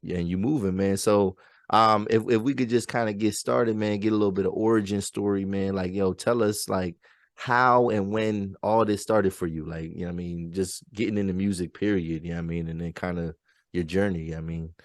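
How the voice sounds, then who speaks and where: A man in his thirties with a somewhat low voice, recorded fairly dry. He hosts in a loose, conversational style. His speech is slangy and affirming, full of little check-ins with the person he is talking to. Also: steady tone, fairly quick, no room sound. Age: 30s. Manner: slangy, affirming, repeats "you know what I mean". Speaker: podcast host. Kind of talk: casual interview chat.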